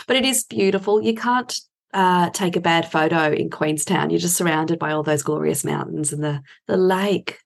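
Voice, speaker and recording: A woman's voice: lowish voice, normal speed, gravelly, hardly any pauses. Chatty middle-aged woman hosting a podcast. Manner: chatty, frequent affirming interjections. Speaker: podcast host. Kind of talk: casual podcast interview.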